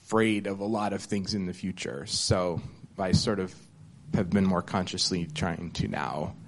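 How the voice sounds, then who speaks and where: A man's voice: low-pitched, fairly even intonation, normal speed, gravelly, a little hiss or hum, poor audio. A man in an audience sharing a personal reflection, hesitant and thinking aloud, with many restarts.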